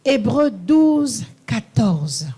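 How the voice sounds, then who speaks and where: A man's voice: very high voice, sing-song, drawn-out words, warm tone, breathy edge. A man interpreting a sermon live in a church, echoing each phrase right after the preacher in French or English.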